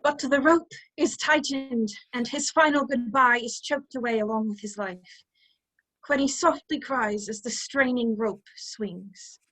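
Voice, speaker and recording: A woman's voice: fairly high pitch, measured pace, a bit bright, low-quality recording. A woman narrating a radio play in a scripted storytelling style.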